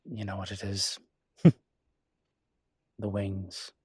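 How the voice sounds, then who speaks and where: A weary male demon played by a man speaks in a low, very husky and breathy voice. He is guarded and dry, picking through halting phrases in an intimate audio roleplay, recorded close with no room sound. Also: animated, no room sound, heavily acted. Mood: bemused, weary.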